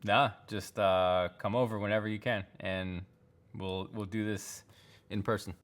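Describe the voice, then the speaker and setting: A man's voice: fairly low pitch, steady tone, a little slow. A man appearing as a podcast guest, chatting in a laid-back, slangy, casual manner. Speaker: podcast guest, tech YouTuber. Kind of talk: casual chat.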